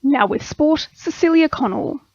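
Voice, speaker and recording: A woman's voice: sits high, expressive, measured pace, a little hiss or hum. An Australian woman reading a scripted radio news bulletin as the newsreader. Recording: slightly dull radio broadcast audio.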